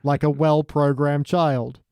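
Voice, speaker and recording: A man's voice: on the high side, level tone, slow pace, darkish tone, smooth, no room sound. A man as a podcast guest in casual review chat, tossing in wry, dry asides.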